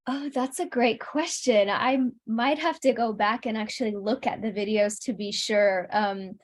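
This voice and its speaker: A young adult woman answering as a guest in a casual, conversational interview. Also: fairly high pitch.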